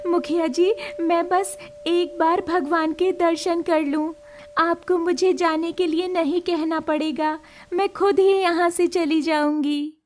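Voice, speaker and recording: A woman's voice: very high voice, steady tone, measured pace, slight background noise. A woman voicing a lonely, meek outcast village girl in a cartoon story, plaintive and wistful. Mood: pleading. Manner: plaintive, talking to herself or pleading. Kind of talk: Hindi cartoon moral story dialogue.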